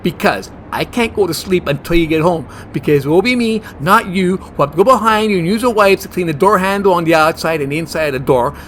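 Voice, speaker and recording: A man's voice: high voice, fast, hardly any pauses, a bit bright, slight background noise. A man acting a fussy, stubborn monster hunter in a comedy horror audio drama, earnest and argumentative. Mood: indignant. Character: fussy germ-phobic monster hunter, male, stubborn and smooth-talking.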